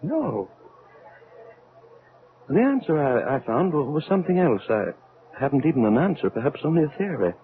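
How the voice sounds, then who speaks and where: An actor in an old-time radio drama playing a troubled elderly scientist, speaking in a halting, brooding, theatrical way. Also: male voice, fairly high pitch, very animated, narrow, tinny audio. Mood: earnest. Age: older. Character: grave, troubled elderly scientist aboard a spaceship. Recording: band-limited vintage radio recording.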